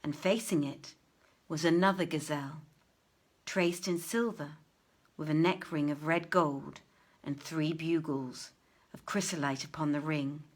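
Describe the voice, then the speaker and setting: A woman reading an old-fashioned tale aloud as a storyteller, expressively, with deliberate phrasing and dramatic pauses. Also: deep voice, steady tone.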